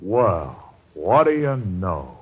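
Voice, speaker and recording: An actor playing an unhurried deputy lawman in a radio mystery, husky and drawling. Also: sits low, sing-song, heavily acted. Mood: unhurried, knowing. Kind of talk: old-time radio mystery acting. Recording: muffled vintage radio recording.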